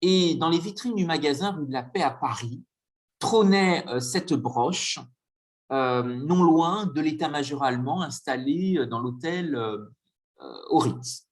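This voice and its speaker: A man giving a conference lecture with slides, speaking hesitantly with frequent filler pauses. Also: a little high, animated.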